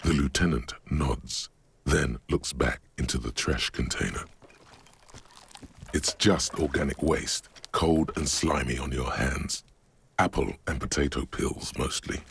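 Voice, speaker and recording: An actor narrating a detective game in a brooding, deliberate, literary manner with a very deep voice. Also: male voice, creaky, a bit bright, slight background noise, full character voice. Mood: brooding, measured. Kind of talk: scripted second-person narration.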